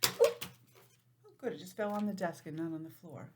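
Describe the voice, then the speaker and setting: A woman teacher giving an informal, encouraging lesson to young children, sounding out letters and counting deliberately. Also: lowish voice, very noisy. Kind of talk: children's lesson, read-aloud introduction.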